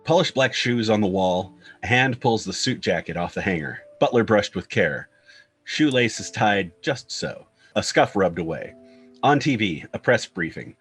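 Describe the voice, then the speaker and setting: A man's voice: on the low side, animated, moderate pace, fairly bright tone, husky. A man reading screenplay stage directions as narrator, in short clipped scripted phrases. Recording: guitar music bed under the voice.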